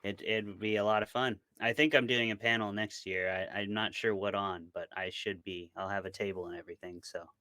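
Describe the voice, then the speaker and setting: A man's voice: on the low side, fairly even intonation, a little fast, hardly any pauses, bright, forceful tone, no room sound. A man speaking as an interview guest in a casual chat, giving candid, opinionated answers. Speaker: interview guest, horror author.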